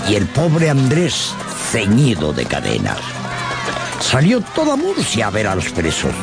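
A man narrating a dramatized literary tale for radio in a theatrical, emphatic storytelling manner. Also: mid-range voice.

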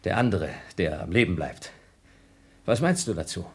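An actor playing a scheming, desperate crewman on a space station in a German radio play, husky and insistent. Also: low voice, big pitch swings, moderate pace, a little mellow. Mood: grimly calm. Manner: domineering, theatrical, insistent.

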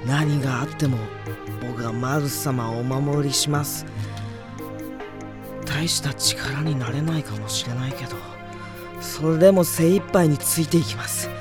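An actor playing an eager, devoted boyish mage in a Japanese radio drama, earnest, polite and emphatic. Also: male voice, mid-range voice, unhurried, music in the background, natural voice. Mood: solemn, devoted.

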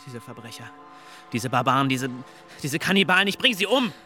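A man's voice: medium pitch, very animated, a little fast, bright, forceful tone. An actor playing a volatile, embittered man in a stylized radio play, with terse delivery. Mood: furious, ranting. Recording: studio radio drama, faint music.

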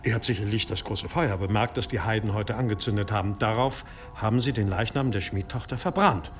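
An actor playing an obsequious, scheming medieval monastery exorcist in an audio drama, deferential, hesitant and persuasive. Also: male voice, lowish voice, brisk, natural voice. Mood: insistent, nervous. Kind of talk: German audio drama acting.

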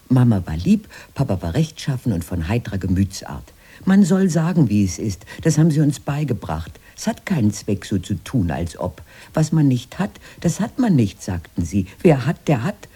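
Radio play role: old, aggrieved sister. Very raspy, melodically varied delivery, plaintive and insistent. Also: female voice, a bass voice, very animated, normal speed, mellow, heavily acted. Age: older. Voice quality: husky, rough. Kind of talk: radio drama acting.

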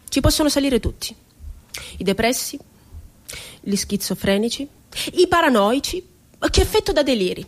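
An actress playing a bitterly ironic psychiatric inmate in a radio theatre monologue, telling her story in a deliberate, dramatic way. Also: on the high side, close to an everyday voice. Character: woman psychiatric inmate recounting asylum horrors, bitterly ironic. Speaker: stage actress.

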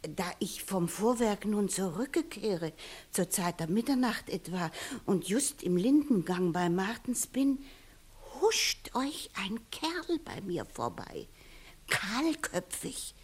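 A woman playing a superstitious village eyewitness in a classical radio comedy, telling her tale with drawn-out emphasis and hushed passages. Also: medium pitch, very animated, slightly rough, heavily acted. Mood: hushed, awed. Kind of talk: 1960s German classical comedy radio play acting.

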